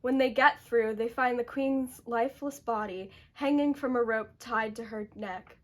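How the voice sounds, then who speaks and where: A woman's voice: on the high side, unhurried. A woman narrator reading scripted dramatic storytelling for a puppet show.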